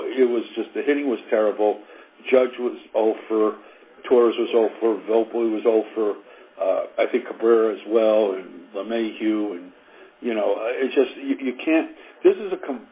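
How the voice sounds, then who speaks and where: Low-voiced man on a muffled voicemail. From South Carolina, United States. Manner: halting, repetitive, many filler words. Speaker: listener leaving a voicemail. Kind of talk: casual spoken voicemail opinion. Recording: muffled voicemail phone recording.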